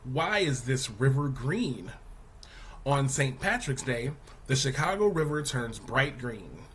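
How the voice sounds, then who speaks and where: A man reading a children's news article aloud as a teacher, in a deliberate, explanatory manner. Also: medium pitch, sing-song, fairly bright tone, a little hiss or hum. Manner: deliberate, explanatory, poses questions to young listeners.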